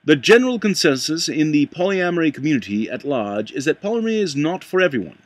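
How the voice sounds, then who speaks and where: A man reading a nonfiction book aloud in a put-on accent, halting at times and correcting himself as he stumbles over words. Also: fairly high pitch, very animated, no room sound. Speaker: amateur book reader.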